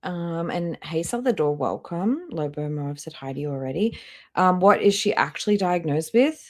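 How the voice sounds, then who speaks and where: Low-pitched blunt woman streamer. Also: expressive, normal speed. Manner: blunt, skeptical, swears. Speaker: live stream host. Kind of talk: casual live reaction commentary.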